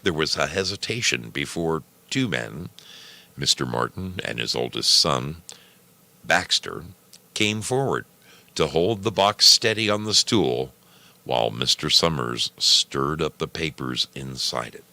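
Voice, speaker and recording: A man narrating a short story from a script, with deliberate, measured phrasing and pauses between phrases. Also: rumbling low, lively intonation, gravelly, pushed and bright. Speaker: storyteller and program host.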